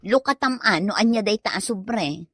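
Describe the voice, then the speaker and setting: A woman's voice: mid-range voice, animated, normal speed, a bit bright, husky, no echo. A woman playing a bossy, curious, teasing village wife in Ilocano comedy drama, with an Ilocano accent.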